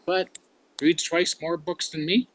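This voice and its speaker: Man, Canadian-raised, club grammarian; unhurried, musing, filler-heavy delivery, slightly high voice. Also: little pitch movement, a bit bright. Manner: slow and musing, with frequent fillers. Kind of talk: casual meeting report.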